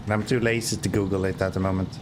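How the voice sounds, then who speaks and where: Low-voiced Swedish man, joking streamer. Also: little pitch movement, fast talker, noisy background. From Sweden. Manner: joking, self-mocking, sarcastic. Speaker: game streamer. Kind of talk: casual chat while playing.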